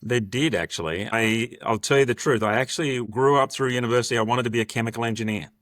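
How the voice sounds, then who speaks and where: A man's voice: medium pitch, quick pace, fairly bright tone, no echo. An Australian man in his fifties, speaking as a podcast guest and telling stories in a conversational way. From Sydney, Australia. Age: 50s. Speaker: podcast guest, restructuring partner. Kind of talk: conversational interview, anecdotal storytelling.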